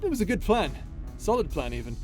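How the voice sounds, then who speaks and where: A man's voice: high voice, very animated, a little fast, darkish tone. A man playing an impassioned space pirate captain in an audio roleplay, with a dramatic, emotive delivery full of sighs. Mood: excited, resolute. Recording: voice over background music.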